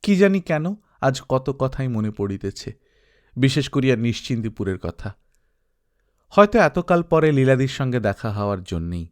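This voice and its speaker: A man's voice: medium pitch, big pitch swings, normal speed. Man narrating a literary story. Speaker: audiobook narrator.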